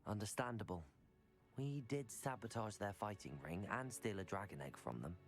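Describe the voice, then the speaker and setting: A man voicing a calm, confident young student adventurer in a video game, composed, measured and polite. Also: lowish voice, faint music behind. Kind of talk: video game dialogue acting.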